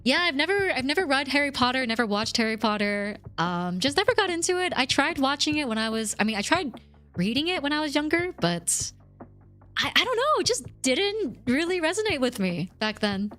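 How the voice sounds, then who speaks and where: Chatty streamer woman, slightly rough voice. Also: high-pitched, big pitch swings, brisk, fairly bright tone, faint music behind. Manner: chatty, rambling, reads chat and thanks subscribers. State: slightly rough voice from healing cough. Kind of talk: casual livestream chat.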